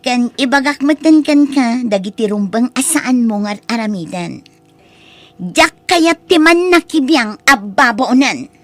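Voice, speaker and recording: A woman with an Ilocano accent playing a sharp-tongued, headstrong young wife in an audio drama. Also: a little high, measured pace, dry recording, soft background music. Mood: bossy. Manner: expressive, complaining.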